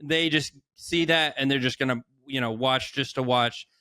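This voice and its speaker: A man's voice: medium pitch, normal speed, pushed and bright, no room sound, clean studio sound. A man co-hosting a podcast and interviewing a guest in a casual, chatty style.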